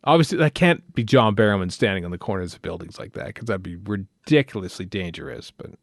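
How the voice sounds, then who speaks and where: A low-voiced male podcast host gives casual episode commentary, his pitch swinging widely. He rambles through jokey banter, and the sound is dry and close. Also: expressive, quick pace, vocal fry, no room sound.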